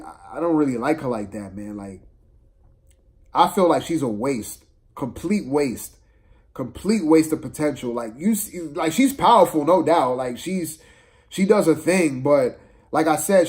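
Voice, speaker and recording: A man's voice: mid-range voice, darkish tone. A man giving a casual, opinionated movie review, exasperated and slangy, repeating phrases for emphasis.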